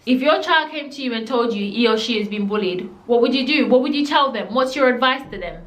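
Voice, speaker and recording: A young woman with a Jamaican accent tells her story straight and emphatically, dropping into patois now and then. Her voice sits fairly high, and the room around her adds a clear echo. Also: animated, fairly quick, a bit bright. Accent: Jamaican, living in the UK. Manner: blunt, emphatic, slipping into patois. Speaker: vlogger. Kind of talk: storytime vlog.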